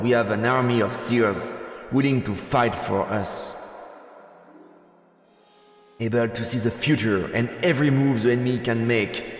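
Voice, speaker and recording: Guy doing a rebel leader speech for a game, loud n defiant, big reverb, music under. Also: medium pitch, lightly acted. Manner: loud, declamatory, deliberate. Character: rousing rebel leader monarch, earnest and defiant. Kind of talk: theatrical speech to a crowd.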